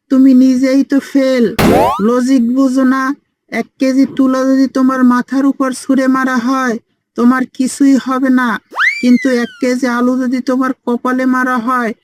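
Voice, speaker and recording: A woman's voice: high-pitched, noisy background, lightly acted. A woman voicing a cheeky, know-it-all five-year-old boy who lectures bossily in a comic cartoon. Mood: smug. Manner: bossy, lecturing.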